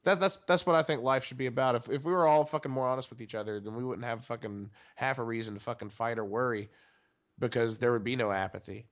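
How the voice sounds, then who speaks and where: A man from Tennessee with a faint trace of its accent and a rough smoker's voice, a podcast guest talking bluntly in casual chat with lots of stammered restarts. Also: mid-range voice, steady tone, quick pace, dull audio. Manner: blunt, rambling, many restarts. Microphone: podcast microphone.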